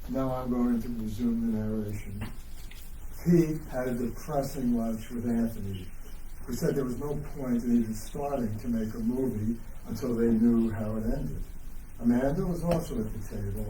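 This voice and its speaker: A man's voice: mid-range voice, moderate pace, dark tone. A man reading scripted narration at a live dramatic reading of a novel. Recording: roomy, distant mic, faint noise.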